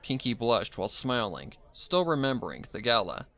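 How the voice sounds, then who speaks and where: A man's voice: medium pitch, sing-song, normal speed, pushed and bright, some chatter behind. A man reading a scripted story aloud as narrator. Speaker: narrator, fan fiction reader.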